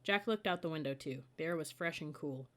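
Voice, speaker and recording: Low-pitched woman reading a children's storybook. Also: brisk, fairly bright tone. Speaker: audiobook reader.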